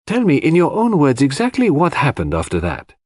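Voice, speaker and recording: A man plays a brisk, commanding detective in an audiobook mystery. His pitch is raised above a narrator's and swings widely as he questions precisely and formally, unshakeably sure of himself. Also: huge pitch swings, darkish tone, no echo, studio quality. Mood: sharp, probing.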